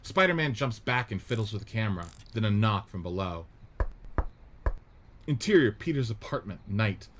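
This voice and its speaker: A man with a low voice reads stage directions, measured and matter-of-fact, like a radio play narrator. A faint hiss sits behind him. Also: sing-song. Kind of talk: reading stage directions in a script reading.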